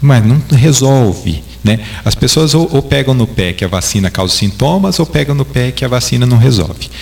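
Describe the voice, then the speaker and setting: Man, Brazilian Portuguese accent, doctor, didactic, gravelly voice. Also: medium pitch, expressive, moderate pace, a little mellow. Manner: didactic, patient explainer. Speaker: doctor guest. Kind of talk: explanatory interview answers.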